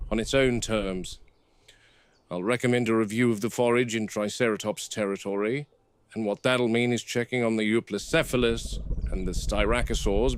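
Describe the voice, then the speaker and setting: A seasoned game warden acted by a man logs what he observes in a low, measured voice. He is watchful and analytical, speaking in clipped field notes, like found-footage horror on a noisy old VHS tape. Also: darkish tone, natural voice.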